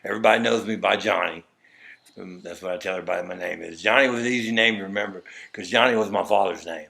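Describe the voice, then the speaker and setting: Texas guy, kinda low voice, rambles thru his story and does everyone's lines himself. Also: fast, gravelly, sharp tone. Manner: rambling, acts out quoted dialogue. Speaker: interview guest. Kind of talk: conversational storytelling.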